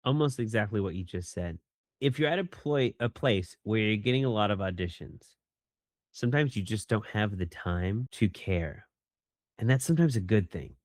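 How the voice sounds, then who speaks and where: A man, a voice actor, chatting casually in an interview and talking animatedly, often jumping into a higher voice when he quotes people. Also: animated, normal speed, no room sound. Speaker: voice actor guest.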